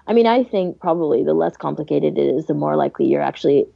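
Very creaky, low-voiced woman hosting casually. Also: animated, fast talker, no echo, highs cut off. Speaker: podcast host. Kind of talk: casual chat.

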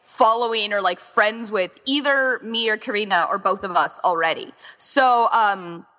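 A woman's voice: medium pitch, moderate pace, bright, forceful tone, in a large hall, poor audio. A woman chatting casually and informally as a podcast interview guest, peppering her talk with "like". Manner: chatty, informal, heavy use of "like". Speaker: interview guest, event co-founder.

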